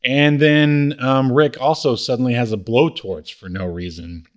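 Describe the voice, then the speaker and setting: A man co-hosting a podcast, casually recapping a TV comedy episode with halting delivery and acted-out quotes. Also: mid-range voice, fairly even intonation. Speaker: podcast co-host.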